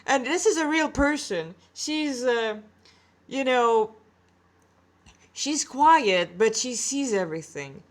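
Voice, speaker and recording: A woman's voice: sits high, lively intonation, takes it slowly. A woman reading her own amateur fan fiction, breaking into candid, self-deprecating, chatty asides.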